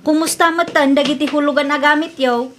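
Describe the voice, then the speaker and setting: A woman's voice: high-pitched, steady tone, fairly quick, a bit bright, a little hiss or hum. A woman with an Ilocano accent playing a proud, disapproving daughter who lectures others in an audio drama.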